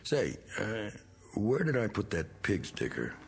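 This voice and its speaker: An actor playing a needling, bitter middle-aged American war veteran with folksy, slangy talk in a vintage TV drama. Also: male voice, fairly low pitch, wildly swooping pitch, unhurried, soft background music, exaggerated voice. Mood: uneasy, casual. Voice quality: gravelly. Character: needling, bitter male war veteran, rough working man. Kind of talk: 1960s television drama acting.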